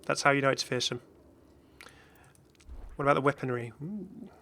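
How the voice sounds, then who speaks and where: A man's voice: medium pitch, moderate pace, sharp tone. A man giving casual, off-the-cuff game commentary as a video host, chatty and rambling with enthusiastic asides.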